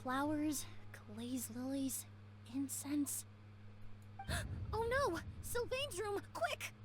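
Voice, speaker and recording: A woman's voice: high-pitched, very animated, very slow, soft background music, full character voice. An actress playing an earnest, composed and dutiful young female student in a video game. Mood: alarmed.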